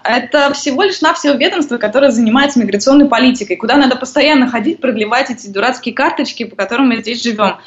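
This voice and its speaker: A woman's voice: fairly high pitch, fast, few pauses. A woman in her thirties, a guest explaining things in casual conversation, with many hesitations. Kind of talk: conversational interview. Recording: lo-fi muffled audio.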